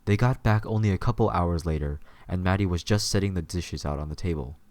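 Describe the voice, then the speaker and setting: Male narrator, very low voice, scripted storytelling, dry close sound. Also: fairly quick, darkish tone, dry recording.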